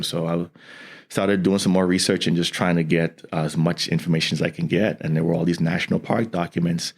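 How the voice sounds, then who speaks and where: Very deep-voiced man, earnest and unhurried. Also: creaky. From Bahamas. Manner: earnest, with frequent restarts and "you know". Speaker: guest, environmental scientist and educator. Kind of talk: conversational interview.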